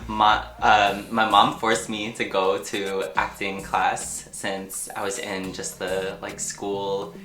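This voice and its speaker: An actor and artist speaking casually and haltingly in an interview, telling personal stories with frequent filler words. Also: low voice, little pitch movement, bright, forceful tone. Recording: echoey room with music underneath. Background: music.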